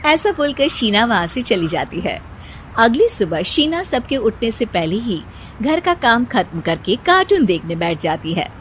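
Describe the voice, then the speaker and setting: A woman reading scripted narration for an animated moral story. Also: fairly high pitch, unhurried, noisy background.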